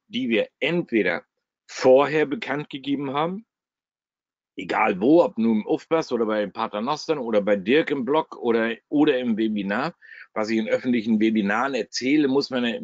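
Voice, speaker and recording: A man with a German regional dialect hosts a live trading webinar at his computer. He talks bluntly and casually, with coarse jokes and a steady, mid-range voice. The sound is dry and a bit muffled, like an online stream. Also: mid-range voice, no echo. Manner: blunt, chatty, salty humor. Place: at a computer, screen shared. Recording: dry, slightly dull webinar audio.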